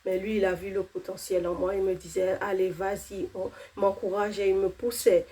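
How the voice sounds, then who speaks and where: A woman's voice: medium pitch, level tone, moderate pace, dark tone. A woman in her thirties, not a native French speaker, giving an earnest personal faith testimony, with fillers and restarts.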